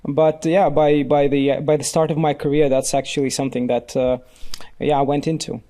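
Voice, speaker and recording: A man from Ukraine talks through his career as a podcast guest. His voice sits a little high for a man but sounds mellow and relaxed. He speaks reflectively, pausing on fillers and restarting sentences. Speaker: podcast guest, company CEO. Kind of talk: relaxed interview talk.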